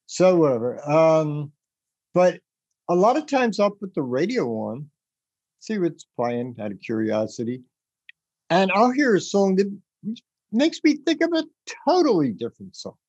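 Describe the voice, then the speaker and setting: A man hosting a podcast about the music business, rambling and animated as he gives advice, with a rough voice. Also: fairly high pitch, unhurried, muffled recording. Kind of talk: casual advice chat.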